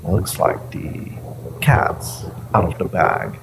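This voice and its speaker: A low, unhurried male monster played by a man interrogates the listener in an audio roleplay. He is gravelly and theatrical, toying with his prey in a mocking, menacing way. A faint layer of noise sits behind the voice. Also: big pitch swings, heavily acted. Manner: theatrical, taunting, drawn-out phrasing with pauses and whispers.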